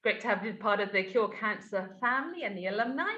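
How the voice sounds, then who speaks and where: A woman hosting an online lunchtime talk in a relaxed, chatty way with frequent ums. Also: mid-range voice, fast talker. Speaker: host. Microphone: video call. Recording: roomy, dull video call audio.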